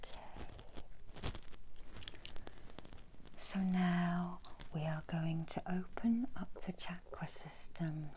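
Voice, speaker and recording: Very slow whispering woman, ASMR meditation. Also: on the low side, fairly even intonation, dark tone, rough recording. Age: middle-aged. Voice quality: breathy whisper. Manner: slow, soothing, with long pauses. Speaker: reiki healer leading a guided meditation. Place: small room indoors.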